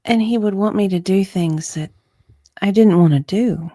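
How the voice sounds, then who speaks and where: A woman co-hosting a dramatic reading of testimony, speaking in a conversational way with measured phrasing and some casual chat. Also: medium pitch, mellow, no room sound, clean studio sound. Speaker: co-host reading a witness's part from a deposition.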